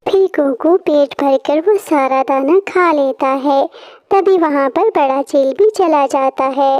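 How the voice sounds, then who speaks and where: Female storyteller for children's tales, high pitch, scripted narration. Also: takes it slowly, a little mellow.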